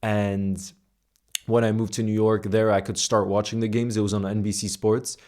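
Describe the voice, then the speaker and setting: A young man speaks in a low voice that stays fairly level in pitch. He tells a personal story to his viewers, earnest and reflective. He often restarts phrases and fills the gaps with "you know". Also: flat delivery, moderate pace, darkish tone. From Iceland and the United States. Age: young adult. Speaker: football content creator. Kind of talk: casual personal storytelling to camera.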